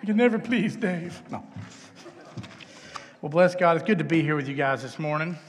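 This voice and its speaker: Man, middle-aged, Texan accent, slightly high voice, casual chapel speaker, self-deprecating, conversational, long hall reverb. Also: lively intonation, moderate pace, slight background noise. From Texas, United States. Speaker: guest speaker at a chapel, filmmaker. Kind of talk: informal chapel talk, personal testimony. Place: large indoor chapel, live talk.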